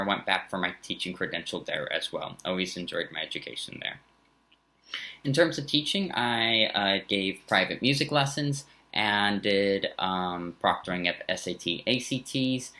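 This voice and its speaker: A man in his thirties, a high school teacher from the Bay Area with a slight German accent, giving an earnest recorded welcome full of fillers and restarts. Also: bright, forceful tone. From San Francisco Bay Area. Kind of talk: recorded back-to-school talk to parents.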